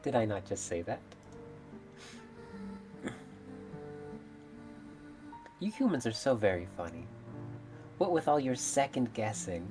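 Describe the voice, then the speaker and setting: A woman's voice: a bass voice, sing-song, moderate pace, music in the background. A woman voicing a proud, blunt, aloof mermaid in a fairytale visual novel, with formal, stately phrasing. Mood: haughty. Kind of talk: visual novel character read-aloud.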